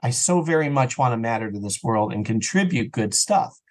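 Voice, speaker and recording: A man chatting casually as an interview guest, talking in an earnest, animated way about his creative work. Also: mid-range voice, moderate pace. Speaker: interview guest, audio drama creator and podcaster.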